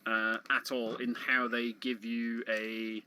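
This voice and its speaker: A man's voice: mid-range voice, level tone, slow, noisy background. A man giving casual, explanatory live commentary on a video game, speaking a little hesitantly as he goes. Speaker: speedrun commentator. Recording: loud music behind the voice. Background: video game music.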